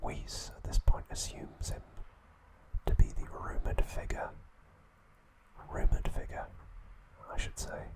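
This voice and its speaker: Man, ASMR host, hushed whisper, slow deliberate delivery, soft trivia narration. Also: poor audio.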